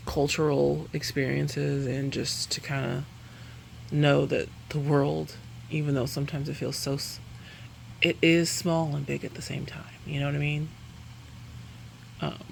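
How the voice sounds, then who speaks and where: A woman's voice: low voice, vocal fry, a little hiss or hum, rough recording. A woman chatting casually through a makeup review, rambling and correcting herself, laughing between sentences. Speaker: beauty vlogger.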